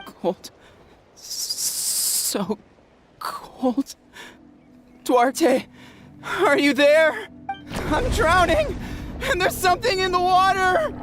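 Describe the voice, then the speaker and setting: A woman playing a frightened, delirious victim in a video game, breathy, shivering and panicked. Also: extremely high pitch, animated, drawn-out words, pauses often, exaggerated voice. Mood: panicked delirium. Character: shivering, frightened delirious victim who thinks they are drowning. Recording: music playing behind the voice.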